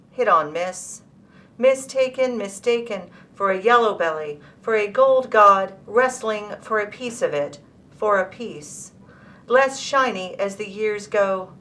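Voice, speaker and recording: Bright-voiced woman reading poetry slowly. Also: medium pitch, slight background noise. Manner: measured, deliberate phrasing. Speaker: poet. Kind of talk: poetry reading of own work.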